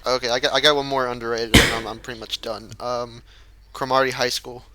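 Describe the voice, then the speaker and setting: A young man chatting casually on a podcast, halting and repeating words, with lots of "like". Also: mid-range voice, level tone, heavy background noise. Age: young adult. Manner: hesitant, repeats words, says "like" often. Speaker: podcast co-host. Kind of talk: casual group chat.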